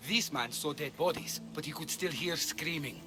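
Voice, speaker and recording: A man playing a conscience-torn ancient healer in a video game, earnest and measured. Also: on the high side, background noise, close to an everyday voice. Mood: urgent, concerned. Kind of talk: dramatic game cutscene dialogue. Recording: music bed behind voice.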